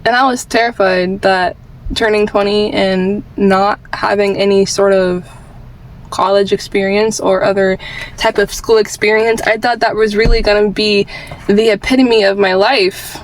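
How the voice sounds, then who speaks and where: A woman's voice: medium pitch, fairly even intonation, unhurried, a little hiss or hum, low-quality recording. A twenty-year-old woman vlogging with candid personal advice in an informal, rambling, earnest way, repeating phrases for emphasis.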